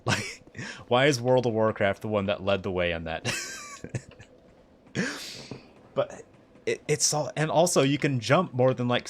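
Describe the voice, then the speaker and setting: A young man with a mixed Southern and Midwestern American accent, chatting excitably and rambling in a self-mocking way while streaming a game. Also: mid-range voice, slight background noise. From United States. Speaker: livestreamer. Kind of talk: casual live gameplay commentary.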